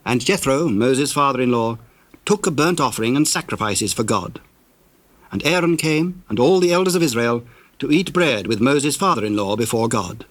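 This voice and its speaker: A man narrating a dramatized scripture reading in a solemn, formal and measured storytelling manner. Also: mid-range voice, lively intonation, no room sound.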